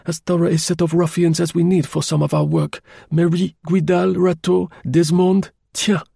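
A man's voice: fairly high pitch, level tone, moderate pace, gravelly, dark tone, husky, no room sound, voice pushed up, exaggerated voice. A man voicing a cold, scheming male official who speaks curtly and dryly. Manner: curt, dry, bland.

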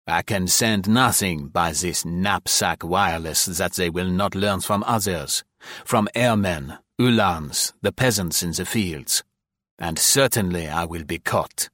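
A man voicing a proud, bitter young male spy in a measured way. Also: deep voice, a bit bright, no room sound, clean studio sound.

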